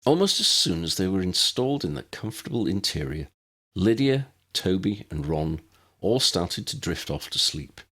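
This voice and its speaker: A man's voice: low voice, very animated, normal speed, pushed and bright. A man reading scripted fiction as an audiobook narrator.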